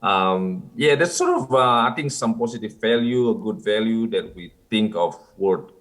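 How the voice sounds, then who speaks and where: A man from North Sumatra, a podcast guest, who answers slowly with many pauses and fillers. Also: on the low side, animated. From North Sumatra, Indonesia. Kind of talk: casual interview answers.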